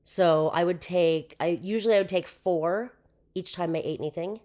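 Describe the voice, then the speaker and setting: A young woman with a hoarse voice, chatting casually as a podcast guest, with lots of fillers. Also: on the low side, normal speed, dark tone. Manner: chatty, with frequent fillers and laughs. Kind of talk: casual interview chat.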